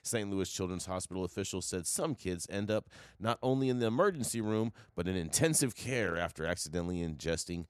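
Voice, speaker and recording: A man's voice: low voice, expressive, a little fast, hardly any pauses, clear, resonant tone, no room sound, clean studio sound. A man hosting a casual news chat, sarcastic, often stumbling and restarting mid-sentence. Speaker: news show host.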